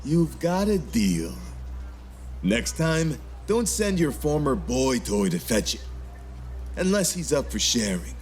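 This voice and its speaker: Smug male gang leader, played by a man, sly and mocking, drawn-out delivery with lively pitch, for a sci-fi visual novel dub. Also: soft background music. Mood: sly, teasing.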